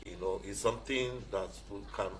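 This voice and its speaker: A man with a Nigerian accent answers as a radio guest in a deep, low voice. His delivery is conversational, with frequent little "you know" asides. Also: fairly quick, poor audio. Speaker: radio guest, competition organiser. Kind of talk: conversational interview talk.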